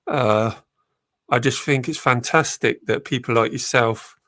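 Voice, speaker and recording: A man's voice: medium pitch, a little slow, bright, forceful tone, no room sound, studio quality. A man with a cold, chatting hesitantly as a guest on a live stream. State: bunged up with a cold. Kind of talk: casual chat.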